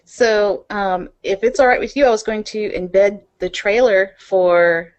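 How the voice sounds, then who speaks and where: Medium-pitched woman hosting a podcast. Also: steady tone, a little slow. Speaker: podcast host, interviewer. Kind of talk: casual interview.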